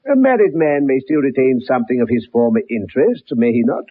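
A genial, respectable British gentleman for radio drama, polite and earnest. His delivery is very animated, with some huskiness. The recording is old, dull and lo-fi. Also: medium pitch, brisk, breathy edge. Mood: mildly indignant.

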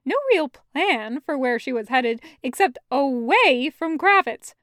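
A woman reading a story aloud as scripted, expressive audiobook narration. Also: sits high, measured pace, no room sound, studio quality. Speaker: fan fiction audiobook reader.

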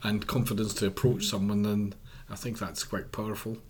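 A man's voice: fairly low pitch, flat delivery, brisk, breathy edge. Earnest man giving advice. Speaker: mental health charity policy director. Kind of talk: podcast interview guest.

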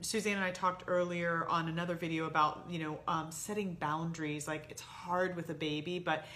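A woman's voice: on the low side, level tone, brisk, fairly bright tone. A middle-aged woman co-hosting a conversational relationship advice talk, explaining points and acting out example phrases as she goes. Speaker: co-host giving relationship advice.

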